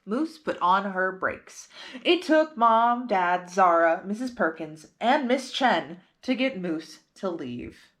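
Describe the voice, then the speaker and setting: Woman, children's storytime host, picture-book read-aloud, unhurried pace, very lively intonation, simple questions to listeners. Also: mid-range voice.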